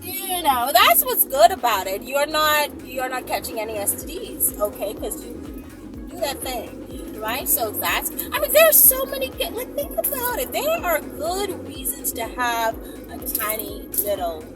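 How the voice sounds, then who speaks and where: A woman with a high, very animated voice chats to her viewers like a vlogger. Her delivery is emphatic and teasing, with mock sympathy. She sounds some way from the microphone, with loud music playing behind her. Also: a little slow, distant mic. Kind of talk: casual direct-to-viewer chat.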